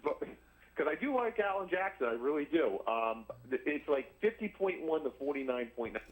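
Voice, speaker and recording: A man calling in to a radio show, chatting casually in a halting, deliberate way. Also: on the high side, sing-song, low-quality recording. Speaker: radio call-in listener. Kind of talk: casual call-in chat.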